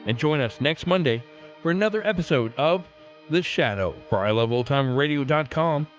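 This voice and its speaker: A male podcast host reads scripted narration. His voice sits fairly high and moves with very lively intonation, over faint music. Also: moderate pace, lo-fi sound.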